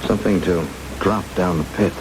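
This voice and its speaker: An actor playing a defiant, devoted captive husband in a vintage radio drama, earnest and emotional in delivery. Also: male voice, deep voice, steady tone, fairly quick, lightly acted. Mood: steady, reassuring. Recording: old radio broadcast under loud rain-like noise.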